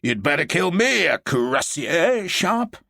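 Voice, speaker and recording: Guy doing a cranky blunt general, gravelly, lots of swing in the pitch. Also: very animated, measured pace, bright, forceful tone, dry recording, clean studio sound, exaggerated voice. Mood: brusque. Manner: gruff and brusque. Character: irritable, blunt middle-aged male British general.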